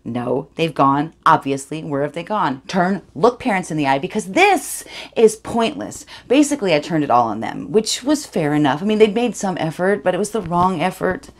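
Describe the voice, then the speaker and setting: A woman's voice: sits low, animated, normal speed. A woman performing a dramatic monologue as a privileged, awkward eighteen-year-old girl, sly and defensive, rambling confessionally. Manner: rambling, confessional, self-justifying.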